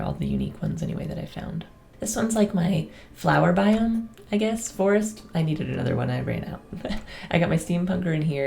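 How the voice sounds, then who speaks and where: A woman with a raspy voice, getting over a cold, casually touring her game world in a relaxed, chatty voice-over with soft ums. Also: low voice, slight background noise. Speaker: gaming channel host.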